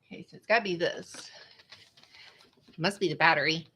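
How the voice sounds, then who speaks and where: A woman with a drawl chats in a rambling, friendly way to her live-stream viewers, calling them "y'all." Her voice is bright and forward, a little nasal from congestion, and it is picked up by a small webcam at her home computer. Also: on the low side, fairly quick, slight background noise. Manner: chatty, rambling, says "y'all" often. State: stuffy, congested nose. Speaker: vlogger hosting a live stream.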